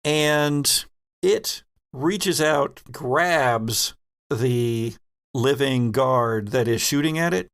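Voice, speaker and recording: A man narrating a tabletop horror game as game master, in halting, suspenseful phrases. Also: mid-range voice, a bit bright.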